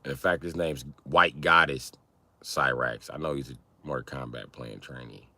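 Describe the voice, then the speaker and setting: A man hosting a casual livestream, teasing and swearing as he banters with viewers in an animated, exaggerated way. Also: moderate pace, no room sound. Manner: teasing, profane mockery; animated, breaks into high-pitched exaggerated mimicry. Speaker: livestream host chatting with viewers.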